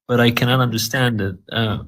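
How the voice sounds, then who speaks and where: A man's voice: medium pitch, fairly even intonation, brisk, a bit bright. A man whose first language is Farsi, answering interview questions in English in a hesitant, conversational way with frequent fillers and repeated words.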